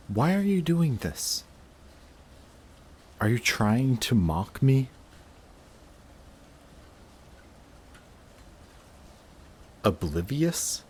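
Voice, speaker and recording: A man playing a guarded villain in love with a rival, in an intimate audio roleplay with halting, emotional delivery. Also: on the low side, breathy edge, full character voice. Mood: shaken, incredulous. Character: lovestruck male villain, guarded, flustered, confessing love to rival.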